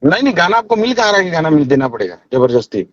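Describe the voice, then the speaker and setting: A man's voice: fairly high pitch, sing-song, racing, muffled recording, a loud crowd. A man acting as a manager in a heated phone argument over fees, insistent and cutting in, on a recorded call. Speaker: singer's manager negotiating fees.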